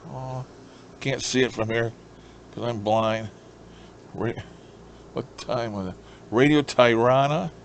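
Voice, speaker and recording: A man's voice: mid-range voice, takes it slowly, gravelly, long pauses, slight background noise. A man chatting casually in a folksy, rambling way as he explains his hobby. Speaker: shortwave radio hobbyist presenter.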